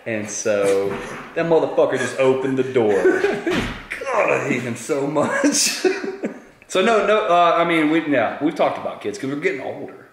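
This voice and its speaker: A man's voice: mid-range voice, sing-song, a little slow, a loud crowd. Thirties guy from South Carolina, laughs at himself, crude humor, echoey room. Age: 30s. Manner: laughing, crude, self-mocking. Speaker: interview guest, YouTube creator. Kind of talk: casual storytelling chat.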